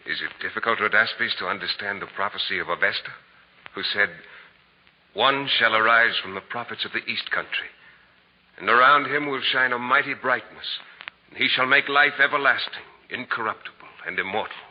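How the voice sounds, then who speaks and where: An actor playing an earnest, visionary Persian Magus with grave, fervent declamation in 1940s radio style. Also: male voice, fairly low pitch, normal speed, close to an everyday voice. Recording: muffled old radio recording, faint noise.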